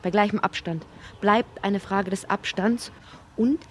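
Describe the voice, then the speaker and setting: An actress playing a dreamy literary narrator in an audio drama, musing in repetitive, incantatory poetic fragments. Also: female voice, medium pitch, measured pace, a little hiss or hum, natural voice. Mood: light, floating. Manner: incantatory, repeats phrases.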